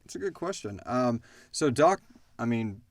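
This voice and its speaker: A man's voice: mid-range voice, very animated, normal speed, no room sound, studio quality. A man hosting a casual question-and-answer chat, speaking informally and thinking aloud as he goes. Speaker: podcast host and audio drama creator.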